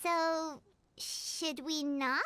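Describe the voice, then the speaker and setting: A woman voicing a small, curious and excitable childlike fairy companion in a video game, with a squeaky voice. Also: extremely slow, full character voice. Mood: puzzled. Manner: refers to self in third person, exclaiming, inquisitive. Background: music.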